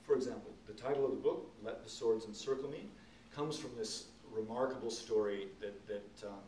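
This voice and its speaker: A middle-aged man speaking as an author at a public talk, explaining and telling stories in an earnest, emphatic way with halting pauses. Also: fairly low pitch, dark tone. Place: indoor event room, seated on stage. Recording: roomy, distant camcorder sound, faint noise.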